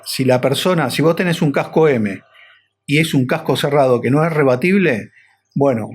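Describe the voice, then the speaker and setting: Argentine man with a slightly rough voice. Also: medium pitch. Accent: Argentine Rioplatense. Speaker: motorcycle vlogger. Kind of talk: casual explainer vlog.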